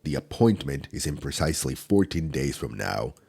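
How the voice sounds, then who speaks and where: A man playing a stern male demon enforcer who is gruff but protective, speaking in a measured, scolding way in an ASMR audio roleplay. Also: big pitch swings, background noise, exaggerated voice. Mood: gentle. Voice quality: deep gravelly husky voice. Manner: scolding, measured, low-key delivery.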